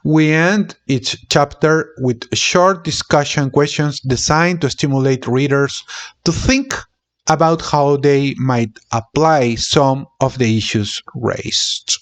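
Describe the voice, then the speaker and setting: A man reading a business textbook aloud in a deliberate, halting way, sometimes repeating words. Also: on the high side. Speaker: channel host reading a book aloud.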